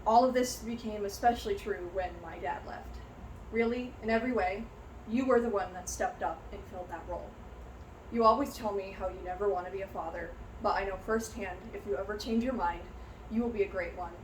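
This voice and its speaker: A young woman playing a heartfelt, grateful young vampire clan heir, giving a measured, earnest prepared speech in character. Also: mid-range voice, close to an everyday voice. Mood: sincere, grateful. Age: young adult. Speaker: student giving a class speech. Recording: roomy echo, light background noise.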